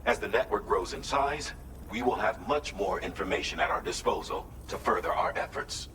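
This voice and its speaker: A man playing a calm, methodical mission coordinator in a video game, giving formal, measured, precise instructions. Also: fairly low pitch, reverberant, noisy background, natural voice. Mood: measured, businesslike. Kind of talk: scripted game mission briefing.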